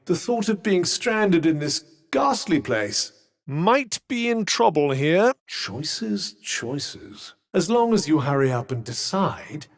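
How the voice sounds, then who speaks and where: A man's voice: on the high side, full character voice. A man with a British accent voicing a haughty, sardonic magical cuff in a video game, dry and pompous in manner. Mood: wary, dry. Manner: dry, pompous, sarcastic, theatrically exasperated. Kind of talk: scripted game character lines.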